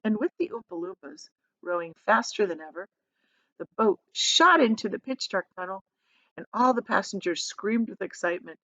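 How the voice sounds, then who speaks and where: A woman's voice: mid-range voice, lively intonation, moderate pace, creaky, fairly bright tone, no echo, rough recording. A woman reading a children's story aloud in a dramatic, emphatic way. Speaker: storybook reader, teacher.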